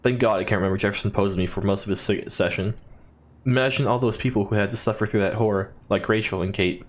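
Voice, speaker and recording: A man's voice: on the low side, steady tone, quick pace. A man doing a let's play, reading in-game journal text aloud expressively and dropping in jokey asides. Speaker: let's player.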